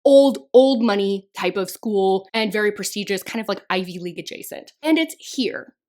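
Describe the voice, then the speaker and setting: A young woman chatting through a monthly book wrap-up vlog, her voice slightly hoarse and a little nasal, with lots of casual fillers. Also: medium pitch, normal speed. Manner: chatty, animated, frequent "like" fillers. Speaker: book reviewer.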